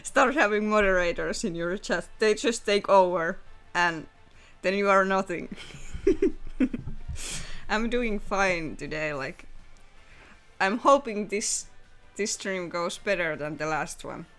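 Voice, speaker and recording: A woman's voice: medium pitch, slow pace, fairly bright tone, faint music behind. A young woman streaming live and chatting casually, giggling and laughing often as she talks.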